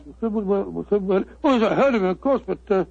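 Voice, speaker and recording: Bumbling English gentleman, British accent, high-pitched, creaky, blustering, stammering, 1940s radio drama. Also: animated, quick pace, no room sound, exaggerated voice. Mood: flustered, nervous. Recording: dull old 1940s radio recording.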